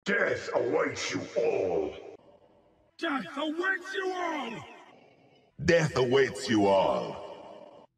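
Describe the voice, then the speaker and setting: An actor playing a menacing undead gunslinger with slow, theatrical threats for a video game. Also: male voice, a little high, wildly swooping pitch, creaky, echoey room, full character voice. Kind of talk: shouted video game battle cries.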